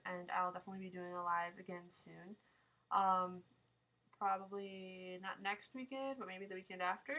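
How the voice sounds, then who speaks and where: A woman's voice: fairly low pitch, bright, forceful tone, poor audio. A young woman with a nasal voice from a sinus cold, chatting casually while answering viewer questions on a live stream, rambling and laughing often. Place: home, small town.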